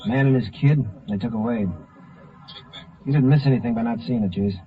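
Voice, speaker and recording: Dull vintage radio sound, man playing plain Western patrolman, medium pitch, clipped factual American delivery. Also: brisk, faint music behind, close to an everyday voice. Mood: sober. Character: plain-spoken, matter-of-fact Texas highway patrolman. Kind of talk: 1950s western radio drama acting. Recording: muffled vintage radio recording.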